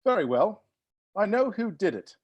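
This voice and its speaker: A woman's voice: medium pitch, big pitch swings, moderate pace, muffled recording, noisy background, natural voice. A woman playing a theatrical, exclamatory butler in a comedy mystery table read. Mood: grave, commanding. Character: flustered, theatrical butler taking charge of a mystery.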